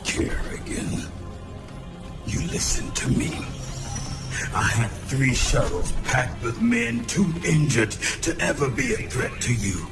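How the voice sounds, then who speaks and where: Gruff, slow-spoken wounded general. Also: male voice, medium pitch, sing-song. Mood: desperate demand. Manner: gruff, strained, forceful. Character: gruff wounded military general, stubborn and self-sacrificing. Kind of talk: dramatic video game cutscene acting. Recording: loud music over the dialogue.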